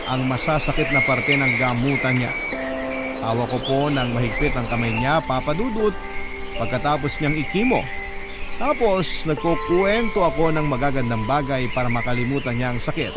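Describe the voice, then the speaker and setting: Earnest male narrator over music. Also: medium pitch. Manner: earnest, polite confessional. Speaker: letter writer narrating his story. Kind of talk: scripted dramatic narration. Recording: music bed under narration.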